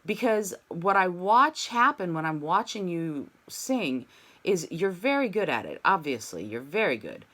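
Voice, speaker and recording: A woman leads a singing class over a video call. Her pitch swings widely as she explains and sings quick demo notes. She is encouraging and talks things through. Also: very animated, fairly bright tone. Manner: encouraging, demonstrates sung vowels. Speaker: voice teacher. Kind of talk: online singing lesson.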